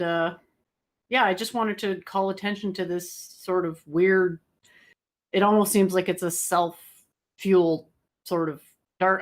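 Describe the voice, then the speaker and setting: A woman co-hosting a conversational news commentary, searching for her phrasing as she goes and posing rhetorical questions. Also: fairly low pitch. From outside the United States. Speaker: co-host commentator.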